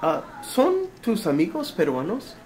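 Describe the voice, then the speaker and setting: A man teaching Spanish, patiently quizzing a student and gently correcting her answers in a small school room. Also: high-pitched, huge pitch swings, slow pace. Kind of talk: oral exam questioning.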